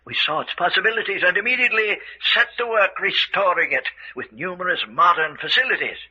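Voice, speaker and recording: A genteel older castle villain in a radio mystery. The voice sits high for a man and cuts very sharply. He taunts with smug, quiet menace and dry chuckles, heard through a dull, lo-fi recording. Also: animated, fairly quick, husky, full character voice. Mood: matter-of-fact. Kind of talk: old-time radio mystery acting.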